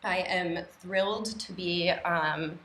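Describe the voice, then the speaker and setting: A woman with a slightly low voice answers as a candidate at a public forum. She speaks haltingly, repeating small words as she builds each point, over faint background noise. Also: sharp tone, low-quality recording. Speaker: city council candidate. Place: indoor TV studio.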